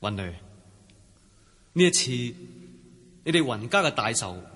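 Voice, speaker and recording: An actor with a Cantonese accent playing a hearty, protective young swordsman chief in a radio drama, with a husky voice. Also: medium pitch, very animated, slow pace, a bit bright, exaggerated voice. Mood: earnest, caring. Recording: reverberant hall sound.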